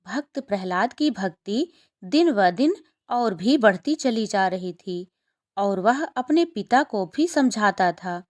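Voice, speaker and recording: A woman reads a scripted story for children. Her voice sits a little high and she takes her time, telling it at a distinctly slow pace. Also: a little mellow. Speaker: storyteller narrator.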